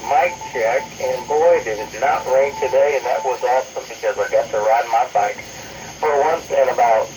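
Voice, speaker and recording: Chatty man over noisy two-way radio. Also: medium pitch. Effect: two-way radio transmission. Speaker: amateur radio operator. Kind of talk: casual radio chat. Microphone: radio transmission recorded off the speaker. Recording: noisy radio speaker picked up by phone.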